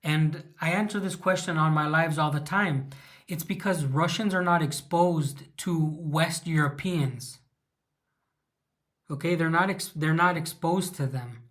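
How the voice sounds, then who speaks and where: A man's voice: fairly high pitch, unhurried, fairly bright tone. A man from Southern California with a Mexican American East Los Angeles accent, casually chatting on his livestream, rambling, restarting words and greeting viewers by name. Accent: Mexican American English from East Los Angeles. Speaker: livestream host.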